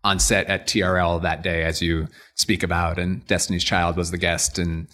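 A low-pitched American man talks as a podcast guest. He explains his business in a conversational way, like a founder used to telling the story. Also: level tone, normal speed, a bit bright. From New York suburbs, United States. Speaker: company co-founder, interview guest.

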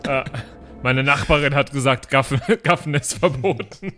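A man's voice: mid-range voice, moderate pace, pushed and bright. A man improvising playful banter as a player in a tabletop role-playing show.

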